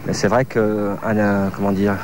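A man in his thirties, a choreographer from France, answering interview questions in a halting way, searching for words with many fillers. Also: lowish voice, level tone, noisy background. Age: 30s.

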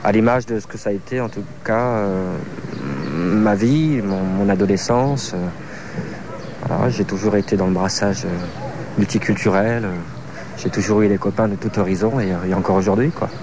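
Halting man in his thirties, street noise. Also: lowish voice, fairly even intonation. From France. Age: 30s. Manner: halting, searching for words, many fillers. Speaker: choreographer. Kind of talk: interview answers.

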